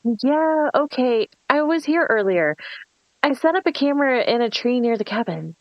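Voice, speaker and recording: Woman as young prank schemer, bossy, sharp-tongued, sarcastic, higher pitched, slightly muffled recording. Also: very animated, normal speed. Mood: teasing, sly. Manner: sarcastic, foul-mouthed, commanding. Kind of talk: screenplay table read.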